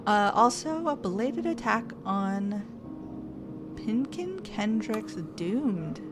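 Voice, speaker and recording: A woman's voice: mid-range voice, very animated, takes it slowly. Woman streamer, casual let's play, thinks aloud, reads game text, game music behind.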